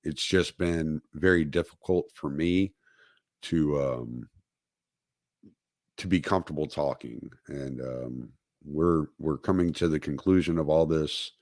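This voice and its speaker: A man with a very low voice talks slowly and haltingly on his own livestream, full of ums and restarts. He is recovering from dental work, and his speech is careful. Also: darkish tone, dry recording, clean studio sound. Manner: hesitant, many fillers and restarts. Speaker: podcast host. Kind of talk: solo livestream talk.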